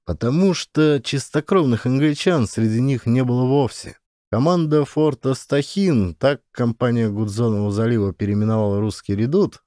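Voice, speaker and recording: A man reading an adventure audiobook as a scripted, expressive narration. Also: fairly low pitch, no echo, clean studio sound.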